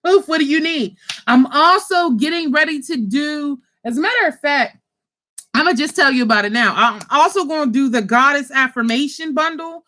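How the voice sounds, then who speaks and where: A high-pitched woman chatting casually to her viewers about spiritual kits she sells. She is animated and talkative, swears freely and keeps calling her audience y'all. She comes from Pittsburgh. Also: moderate pace. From Pittsburgh, USA. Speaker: spiritual kit seller and channel host. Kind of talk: casual livestream chat to viewers.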